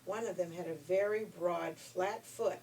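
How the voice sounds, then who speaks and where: A woman reading a children's story in an expressive, folksy way. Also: fairly low pitch, unhurried. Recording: noisy, distant room recording.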